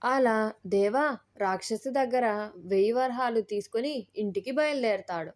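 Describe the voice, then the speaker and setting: A woman's voice: fairly high pitch, normal speed, darkish tone. A woman narrating a scripted story as its storyteller.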